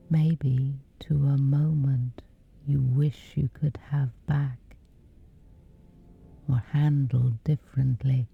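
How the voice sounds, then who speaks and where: A woman with a whispery voice, slowly and gently guiding a sleep meditation. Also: low-pitched, gravelly, husky, phone-quality sound. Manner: slow, soothing, unhurried. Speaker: meditation guide.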